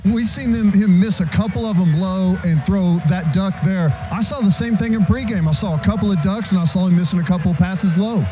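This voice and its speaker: A man's voice: sits high, a little fast, few pauses, highs cut off. An American man giving informal live color commentary on a football radio broadcast, explaining what he saw in a conversational way.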